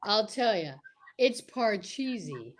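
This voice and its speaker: A woman's voice: medium pitch, big pitch swings, slow, voice pushed down. A woman playing a folksy male game warden with a cowboy drawl in an old-time radio comedy. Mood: folksy, deadpan.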